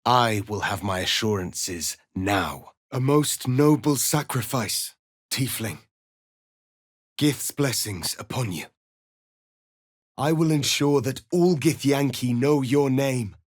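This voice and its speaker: A man playing a proud, solemn alien warrior prince in a fantasy video game, speaking in a formal, commanding, deliberate way. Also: medium pitch, expressive, no echo, clean studio sound. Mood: grave, weary. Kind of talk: dramatic fantasy game acting.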